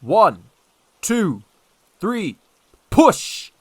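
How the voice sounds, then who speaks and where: Storybook railway director, man acting: portly, pompous, commanding, lively range. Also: sits high, very slow, husky, voice pushed up, heavily acted. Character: bossy, excuse-making portly male railway director.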